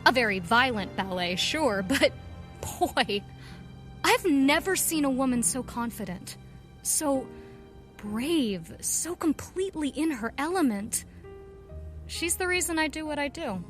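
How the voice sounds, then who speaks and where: A woman's voice: high voice, animated, measured pace, a bit bright. A woman acting a bold, earnest, headstrong young vigilante in a pulp audio drama. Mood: admiring. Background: music.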